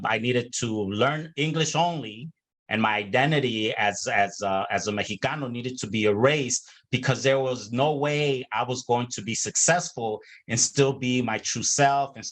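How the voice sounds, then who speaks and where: A middle-aged man from Chicago, speaking earnestly on a panel over a video call and pausing often with filler sounds. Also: medium pitch, a bit bright. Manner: earnest, many hesitations and restarts.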